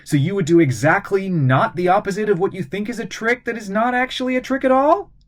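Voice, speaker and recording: A man acts out a clever young teen boy from a children's spy story. He sounds high-pitched and quick. His manner is eager and cheeky, with bold emphasis. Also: natural voice. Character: clever, cheeky thirteen-year-old boy hero.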